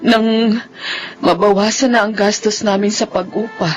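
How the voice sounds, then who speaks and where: A woman's voice: medium pitch, steady tone, measured pace, soft background music, lightly acted, low-quality recording. An actress with a Filipino accent playing a humble, struggling widowed mother in a radio drama, hesitant and emotional. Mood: humble, moved.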